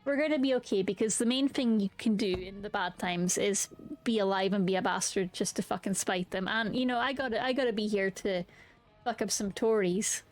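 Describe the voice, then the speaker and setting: A woman's voice: mid-range voice, fairly quick, soft background music. A young woman streaming a game, chatting candidly and swearing freely, often restarting her sentences as she rambles. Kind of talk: casual chat while streaming a game.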